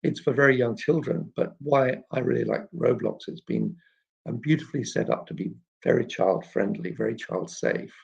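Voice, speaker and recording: A man hosting an informal live question-and-answer chat, explaining things in a halting way and often clearing his throat. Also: mid-range voice. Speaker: children's author and illustrator hosting a live chat. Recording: dull, muffled lo-fi live stream.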